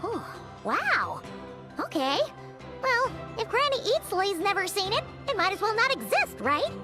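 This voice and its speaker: A woman voicing a tiny, excitable, childlike fairy companion in a video game, squeaky and blunt. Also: a little slow, exaggerated voice. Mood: cheeky. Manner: excitable, blunt, third-person self-reference. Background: game music.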